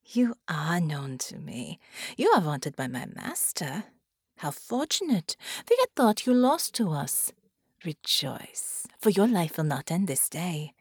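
A woman's voice: deep voice, big pitch swings, slow, breathy edge, no room sound, clean studio sound, heavily acted. A woman doing a bored, haughty alien giantess. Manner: languid, hushed, condescending. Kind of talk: audiobook narration.

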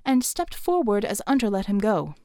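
A woman's voice: medium pitch, very animated, normal speed, warm tone, no room sound, studio quality. A woman reading scripted fiction as an audiobook narrator.

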